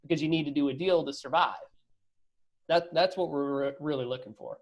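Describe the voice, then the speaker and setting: A man's voice: on the high side, a little fast. A man answering as a guest investor in a conversational business interview, talking emphatically and often checking in with rhetorical questions.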